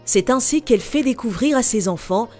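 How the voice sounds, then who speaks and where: A woman from Côte d'Ivoire, reading a scripted TV news voice-over in an even, measured way. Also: on the high side, faint music behind. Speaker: TV reporter.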